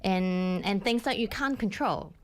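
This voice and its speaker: A woman's voice: medium pitch, lively intonation, a little slow. A woman leadership coach, a guest on a radio show, telling her career story in an earnest, reflective way with small slips of grammar. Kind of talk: interview storytelling.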